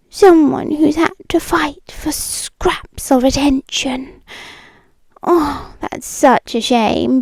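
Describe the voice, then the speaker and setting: A British woman playing a possessive, wealthy, menacingly sweet yandere in an intimate ASMR roleplay, drifting between soft deliberate speech and breathy whispers. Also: high-pitched, no echo. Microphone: close microphone, dry.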